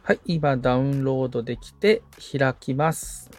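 A man's voice: mid-range voice, animated, moderate pace, darkish tone, faint music behind. A man assisting on a tutorial podcast, agreeably reading out steps as he follows along politely. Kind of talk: casual, polite walk-through of on-screen steps.

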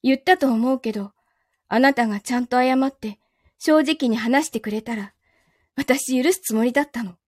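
A woman's voice: a little high, moderate pace, darkish tone, no echo, clean studio sound, natural voice. A woman playing a betrayed, possessive young lover in a live audio drama, with a Kansai Japanese accent and a controlled, coldly teasing manner. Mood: bitter, possessive.

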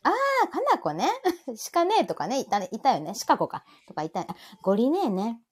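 A woman's voice: a little high, wildly swooping pitch, normal speed, husky. A middle-aged woman with a Kansai accent chatting casually and playfully as co-host of a live stream, prone to giggling.

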